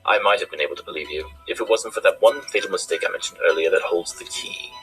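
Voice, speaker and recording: A man voicing a shrewd, smug cartoon pony detective with a theatrical, deliberate way of explaining things. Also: low voice, over music, close to an everyday voice, rough recording. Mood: triumphant reasoning. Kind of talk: fan cartoon voice acting.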